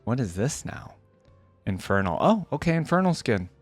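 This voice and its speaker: A man's voice: mid-range voice, big pitch swings, normal speed, no echo, faint music behind. A man giving casual reaction commentary on video game skins, rambling and interjecting as he thinks aloud.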